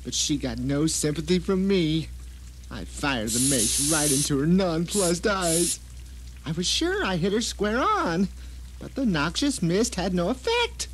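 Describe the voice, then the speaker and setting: A man performing a bitter, vindictive captive who narrates his own ordeal in a melodramatic, sardonic audio drama monologue with deliberate phrasing. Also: high voice, big pitch swings, slight background noise, poor audio. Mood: baffled, angry.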